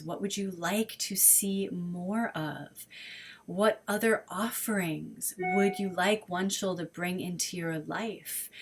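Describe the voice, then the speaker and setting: A woman's voice: medium pitch, breathy edge. A woman who leads an online prayer service, making earnest, inviting announcements and listing ideas in long runs. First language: English. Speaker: program director and service leader.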